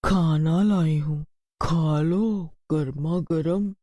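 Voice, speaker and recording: A woman plays an eerie witch from a cartoon ghost story. Her voice drops low and slows into a vengeful taunt, in a close, dry recording. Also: warm tone, no echo, heavily acted. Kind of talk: cartoon horror story acting.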